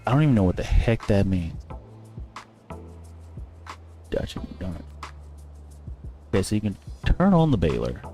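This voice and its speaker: Gaming streamer, male, lowish voice; loose thinking-aloud commentary over faint music. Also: huge pitch swings, normal speed, pauses often. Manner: rambling, thinking aloud, self-correcting. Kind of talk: casual gameplay commentary.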